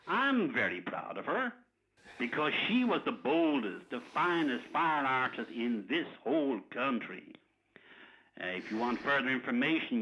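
A man's voice: mid-range voice, pushed and bright, muffled recording, a little hiss or hum. An actor playing a brash, blunt man who declaims proudly in a radio drama. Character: brash American man, proud friend phoning bad news.

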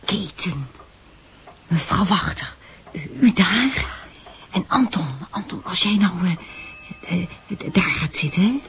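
An actress playing a coaxing, fussy woman at a séance in a radio play, halting and often half-whispering. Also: on the low side, animated, a bit bright, exaggerated voice. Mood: hushed, urging. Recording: noisy old radio play recording.

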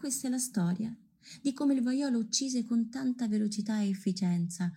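An actress playing a solemn storyteller in radio theatre, narrating a legend with measured, declamatory phrasing. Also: female voice, medium pitch, warm tone, slightly rough. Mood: solemn storytelling. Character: female storyteller of a folk-legend tale, solemn.

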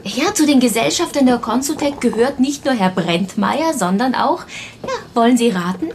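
A woman playing a chatty, sharp-witted detective's assistant with an expressive, colloquial delivery in a radio crime drama. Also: on the high side, moderate pace, a little hiss or hum. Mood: eager, teasing.

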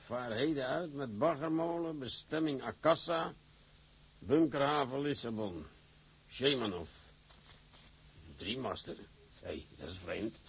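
An actor playing a brisk, self-assured shipping boss with a husky voice in a period drama. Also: male voice, medium pitch, expressive, a bit bright, exaggerated voice. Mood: businesslike.

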